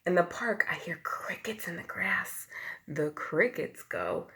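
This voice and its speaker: A woman's voice: on the low side, wildly swooping pitch, a little slow. A woman teacher reading a picture book aloud to young children, expressively, acting out the sound words and explaining things simply. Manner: acts out sound words, dropping to whispers and rising to loud calls; asks the young listeners questions and explains simply.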